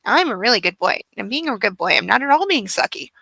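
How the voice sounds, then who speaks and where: Needy baby of a boy dog, voiced by a woman, bright and dry, pleading over and over to be cuddled. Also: fairly high pitch, very animated, quick pace, creaky, no echo, heavily acted. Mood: whiny, cajoling. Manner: pleading, babyish, repetitive phrasing. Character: needy, cuddly male puppy begging mommy for affection.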